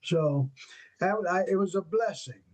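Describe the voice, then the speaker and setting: Older man, high voice, lively storyteller. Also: measured pace. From western New York. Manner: animated, acts out quoted dialogue, peppers speech with "man". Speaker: guest jazz saxophonist. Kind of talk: storytelling in an interview.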